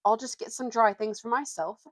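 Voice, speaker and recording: A woman voicing a considerate young king in a storybook, brisk and polite as he gives orders. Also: on the high side, fairly even intonation, no room sound, clean studio sound, natural voice. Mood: brisk, hospitable. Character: hospitable, considerate young male king aboard ship.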